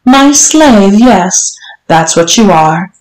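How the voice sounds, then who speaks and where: A woman voicing a domineering, teasing, strict mistress in an audiobook, speaking in an imperious, deliberate way. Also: medium pitch, lively intonation, a little mellow. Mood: commanding, probing. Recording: roomy, echoing room sound.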